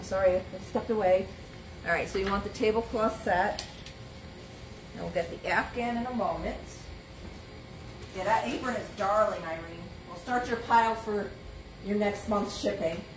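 Chatty woman selling antiques on a live stream. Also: medium pitch. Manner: chatty, reads out prices and sizes while handling items. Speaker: antiques shop live-sale host. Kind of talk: live sales stream, casual item pitching. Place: cluttered antiques shop booth, indoors. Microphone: phone or camera on a stand, moving around in frame. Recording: roomy shop sound with background noise.

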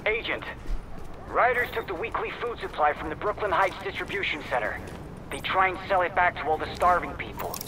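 An indignant, urgent informant in a video game. His pitch is high and swings widely, with clear noise behind him. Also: sing-song, a little fast, heavily acted. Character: indignant male agent radioing urgent mission briefing. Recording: noisy game audio with gunfire.

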